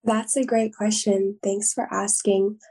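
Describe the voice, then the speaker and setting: A woman's voice: medium pitch, flat delivery, a little slow, warm tone, husky. An American woman speaking articulately and earnestly as a zine founder in a thoughtful interview.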